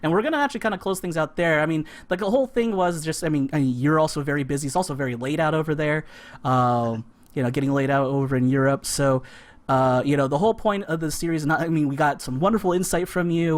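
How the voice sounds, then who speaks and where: Chatty man with a higher-pitched voice. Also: fast talker. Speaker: host of a board game stream. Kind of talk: casual chat while playing a board game.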